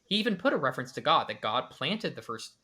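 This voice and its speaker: Male podcast host reacting to a clip, bright voice, analytical, lots of restarts. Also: mid-range voice, very animated, quick pace. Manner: analytical, with many restarts and hedged speculation. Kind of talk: reaction commentary.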